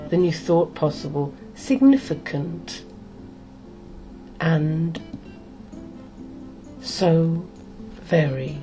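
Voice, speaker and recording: Very slow, low-voiced woman guiding hypnosis. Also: warm tone. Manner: soothing, deliberate with long pauses between short phrases. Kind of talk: guided hypnosis, meditation.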